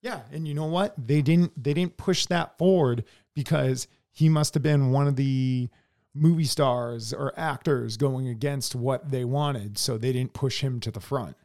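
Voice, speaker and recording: A man's voice: medium pitch, unhurried, darkish tone. Blunt, swearing American man. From United States. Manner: blunt, heavy swearing, many restarts. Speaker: podcast co-host. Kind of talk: casual opinion chat.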